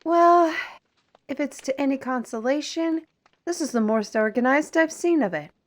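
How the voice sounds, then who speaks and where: A woman's voice: high voice, expressive, moderate pace. A woman playing a wry, exasperated fantasy adventurer in an audio drama, with a dry, teasing way of talking. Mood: wry, casual.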